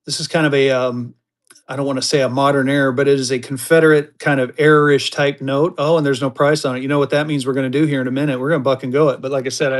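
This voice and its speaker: A man selling paper money on a livestream auction, chatting casually and breaking into excited hype shouts. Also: mid-range voice. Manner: excitable hype bursts, chatty, drawling asides. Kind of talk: casual live-selling banter.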